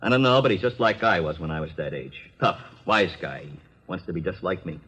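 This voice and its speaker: A condemned gangster in a vintage radio drama. His voice is low and husky, and he talks in rough, subdued street slang like a hard man who will not apologize. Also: lively intonation, a little fast, a bit bright, highs cut off, full character voice. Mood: earnest. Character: condemned gangster, tough, unrepentant, protective older brother. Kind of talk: 1950s radio crime drama acting.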